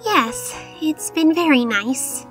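A vain young unicorn showgirl, acted by a woman in a cartoon dub. She speaks high and slowly, with haughty, melodramatic flair, over faint music. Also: expressive, fairly bright tone, full character voice. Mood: earnest. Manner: haughty, melodramatic, speaks of self in third person. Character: vain, theatrical young female unicorn pony magician. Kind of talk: cartoon comic dub acting.

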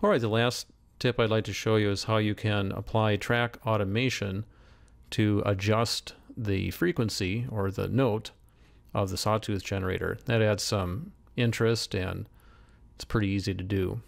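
A man giving a methodical, step-by-step software demonstration as a tutorial voice-over. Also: fairly low pitch, fairly even intonation, normal speed, vocal fry. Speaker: tutorial instructor. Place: voice-over screen recording.

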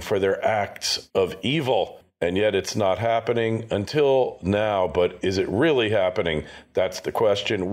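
A man's voice: fairly low pitch, a little mellow. A man hosting an interview show, reading a scripted intro with punchy rhetorical questions. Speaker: podcast host.

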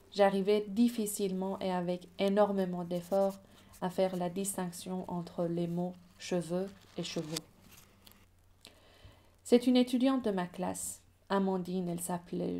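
A woman from Iran, Persian her first language, reads a calm, reflective voice-over narration. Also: medium pitch, unhurried.

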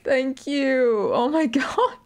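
A young woman from the north of England with a softened northern accent, chatting casually and giggling as a streamer while she plays and reads chat aloud. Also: high voice, slow pace. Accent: Northern English, softened. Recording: game music behind the voice.

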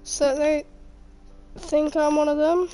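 A woman with a croaky voice from being sick, casually commenting on her gameplay, muttering to herself and mock-frustrated. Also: sits high, flat delivery, slow pace, mellow, soft background music. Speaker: gaming youtuber.

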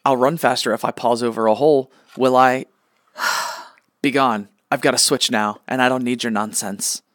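A man's voice: mid-range voice, steady tone, moderate pace, fairly bright tone, dry recording, clean studio sound, natural voice. A man playing a petulant, imperious god who acts like a gaming mama's boy in a comedic radio play. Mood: bossy, flippant. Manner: flippant, whiny, imperious.

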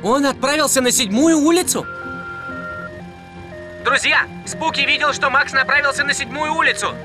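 A man's voice: very high voice, normal speed. A man playing a heroic young police car robot, an earnest and encouraging team leader in a Russian cartoon dub. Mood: urgent. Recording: music behind.

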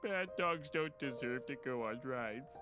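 A man's voice: medium pitch, expressive, measured pace, music in the background, lightly acted. A man voicing a needy, easily hurt talking dog in a game parody, naive and eager to please.

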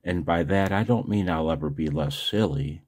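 A man's voice: low-pitched, moderate pace, a little mellow. A man talking casually and self-deprecatingly to his viewers in a rambling monologue, as host of a video channel. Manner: self-deprecating, chuckles often.

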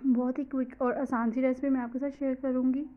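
A somewhat high-voiced woman with a Pakistani Urdu accent, explaining a recipe in an easygoing, chatty way. Her speech is loose and full of small asides, with English words slipped in. The sound is a little dull and lo-fi, taken on a phone in a home kitchen. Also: level tone, fairly quick. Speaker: cooking vlogger. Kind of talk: casual cooking voice-over.

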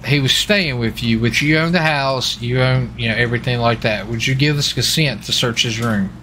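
A man in casual, halting game role-play chat, playing a US marshal as he streams. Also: mid-range voice, poor audio. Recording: steady background noise, game vehicle sounds. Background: game vehicle and ambient sounds.

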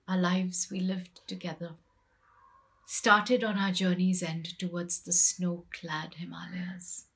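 Wronged queen and wife, played by a middle-aged woman, bitter and grieving. Lowish voice, very unhurried poetic monologue, hushed in places. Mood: sorrowful, resigned. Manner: measured, deliberate, with pauses and hushed phrases. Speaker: poetry reciter. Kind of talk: dramatic poetry recitation. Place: small plain room indoors.

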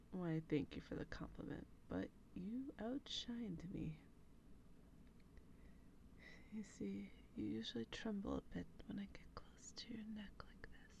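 A woman playing a teasing, wistful female vampire in a breathy, deliberate ASMR role play. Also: mid-range voice, a little mellow, dull audio, a little hiss or hum. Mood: intimate, teasing. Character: female vampire, teasing, wistful, intimately affectionate theater haunter.

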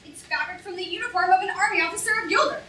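A woman's voice: very high-pitched, rapid-fire. A woman playing a scornful, domineering villain ringleader with pompous, declamatory delivery in a stage comedy. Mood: scheming. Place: lecture hall stage, indoors, recorded from audience. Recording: echoey hall from far off, noisy audience room.

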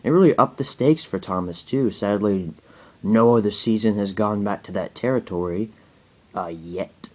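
A young man answering viewer questions in a casual home video, talking haltingly with a stutter, many fillers and restarts. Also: fairly low pitch. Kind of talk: casual Q&A talk to camera.

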